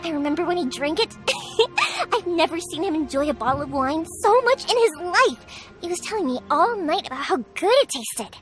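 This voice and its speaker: An actress playing a cheerful, energetic young woman in an anime-style video game, chatty, expressive and earnest. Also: very high-pitched, moderate pace, faint music behind. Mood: chatty, excited.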